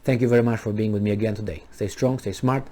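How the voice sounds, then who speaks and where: A man's voice: fairly low pitch, steady tone, fast talker, mellow, slight background noise. A man with a Romanian accent, originally from Transylvania, delivering an argumentative political monologue full of rhetorical questions. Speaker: commentary channel host.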